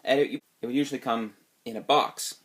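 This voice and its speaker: A man with a slightly sniffly voice from a recent cold hosts his own casual video. He talks loosely and unscripted, restarting sentences and filling pauses with "uh" and "um.". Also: medium pitch, fairly even intonation, a bit bright. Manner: rambling, many fillers and restarts. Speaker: video game collector vlogger.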